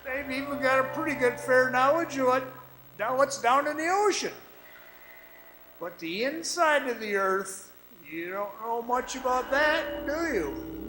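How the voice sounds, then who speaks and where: A man playing a weary, haunted former copper mine guide in a radio drama monologue, telling his story in a folksy, halting, conversational way with ominous pauses. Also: very high voice, lively intonation, roomy sound, over music, low-quality recording. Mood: mysterious, knowing. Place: performing arts center stage.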